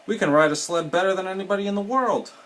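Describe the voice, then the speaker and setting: A man's voice: high-pitched, quick pace, fairly bright tone, voice pushed up. A man voicing an anxious, grumbling toad from a children's storybook, emphatic and dramatic. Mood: excited. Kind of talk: children's storybook read-aloud.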